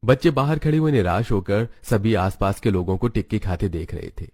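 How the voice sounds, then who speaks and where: A man with a low voice narrates a children's cartoon tale. He reads from a script in a storybook style, as the narrator of the story. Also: animated, brisk, a little mellow, faint music behind.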